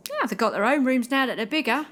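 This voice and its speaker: A woman's voice: high-pitched, animated, fast talker, a bit bright. A woman playing a breezy, obliging manor servant in an audio drama, informal and chirpy. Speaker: amateur actor. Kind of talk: community audio drama acting.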